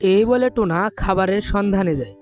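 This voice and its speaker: A woman narrates a scripted cartoon story at a middling pitch. She tells it as a storyteller, with faint music underneath. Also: moderate pace, darkish tone.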